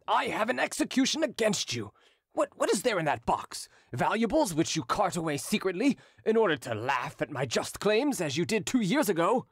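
A reader doing a furious shouting creditor. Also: mid-range voice, big pitch swings, normal speed, husky, dry recording, clean studio sound, voice pushed up. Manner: shouting, accusing. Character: angry male creditor, furious and demanding.

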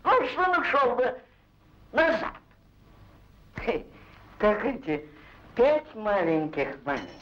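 A man's voice: extremely high pitch, wildly swooping pitch, a bit bright, heavily acted. An actor playing a sly, greedy, fat rich herd owner in an old cartoon, wheedling and drawing out his haggling. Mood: sly, gloating. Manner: wheedling, drawn-out, crafty haggling. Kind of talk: old Soviet cartoon acting. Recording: dull, muffled old film soundtrack.

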